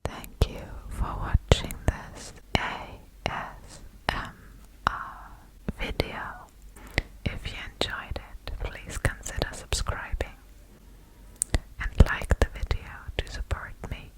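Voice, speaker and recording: A woman whispering gently and slowly as the host of an ASMR video, with a breathy voice. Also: rough recording. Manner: slow, gentle.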